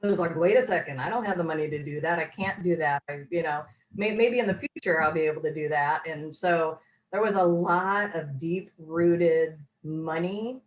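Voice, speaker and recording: An earnest woman with a fairly low voice, a little hoarse, talking over a video call. She rambles reflectively, circling back and restarting her thoughts as she goes. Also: moderate pace, highs cut off. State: slightly hoarse. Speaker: course graduate, interviewee. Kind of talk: casual testimonial interview.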